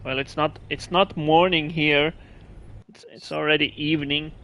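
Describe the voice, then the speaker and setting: Guy streaming a game, kinda high voice, chats w viewers, restarts a lot. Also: fairly even intonation, moderate pace, muffled recording, a little hiss or hum. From Finland. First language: Russian. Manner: chatty, answers viewers' chat, restarts phrases. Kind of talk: casual gameplay commentary.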